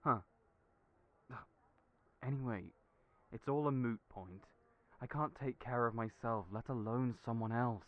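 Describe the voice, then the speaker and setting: A man's voice: medium pitch, a little slow, pauses often, lightly acted. A man playing Julian, a kind but anxious young man who doubts himself, talking hesitantly. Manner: hesitant, worried, self-deprecating. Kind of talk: audio roleplay acting. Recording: tinny phone-like audio.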